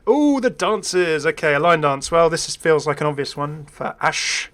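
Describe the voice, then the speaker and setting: A man's voice: on the high side, animated, moderate pace, a bit bright. A man hosting a gaming video with casual, unscripted commentary. He is chatty and hesitant, thinking aloud and dropping wry asides.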